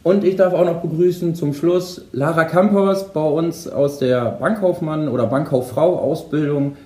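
A male podcast host with a slightly high voice, leading a relaxed group chat. His tone is mellow and he often fills pauses with "ähm". The room adds a roomy echo. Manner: friendly, frequent "ähm" fillers. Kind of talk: casual moderated podcast chat.

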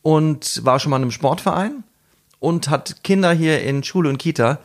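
A man's voice: on the high side, moderate pace. Rambling man in casual chat. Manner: rambling, talks in loose fragments. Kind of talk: casual conversation.

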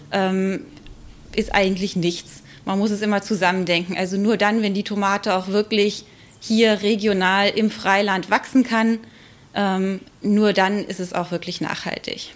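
A woman speaking as an expert guest in a podcast interview, explaining in a measured way with hesitant pauses. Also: mid-range voice, flat delivery.

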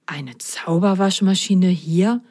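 Woman as mop-haired male creature, children's storybook, dreamy, curious, hesitant, unhurried delivery. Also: fairly low pitch, darkish tone. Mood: puzzled.

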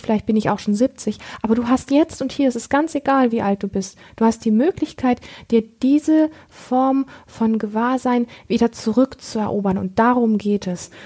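A woman's voice: on the high side, warm tone, breathy edge. A woman talking earnestly about awareness as a coach, often searching for words with fillers. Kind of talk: reflective teaching talk.

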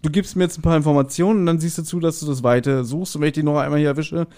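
Man, slightly high voice, casual podcast host, animated retelling with quick quoted bits. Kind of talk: casual chatty episode recap.

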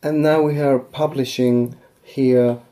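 A man who is a publisher hosting a podcast interview, asking measured, deliberate questions and often answering "I see". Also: mid-range voice, steady tone, dark tone.

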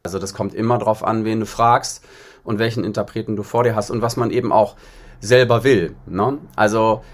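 A man's voice: on the low side, steady tone, a little fast. A man from northern Germany talking conversationally as an interview guest, explaining things in a reflective way. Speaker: interview guest, singer-songwriter.

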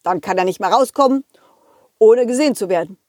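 A woman playing a brisk, commanding policeman in a children's audio story, repeating short phrases decisively. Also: medium pitch, animated, darkish tone, no echo, clean studio sound, lightly acted.

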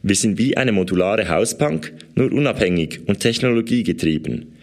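A man's voice: on the low side, expressive, normal speed. A man with a Swiss German accent reading a scripted ad as a company spokesman. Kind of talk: scripted ad read.

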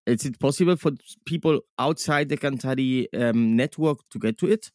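A man hosting an interview, asking questions in a hesitant, halting way with fillers. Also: medium pitch, a little mellow, no room sound, clean studio sound. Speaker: podcast host.

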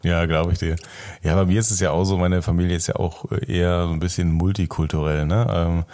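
A man's voice: sits low, level tone, fairly quick, darkish tone. A young man from Germany chatting casually as a podcast co-host, colloquial and often tagging questions onto his phrases. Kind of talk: casual chat.

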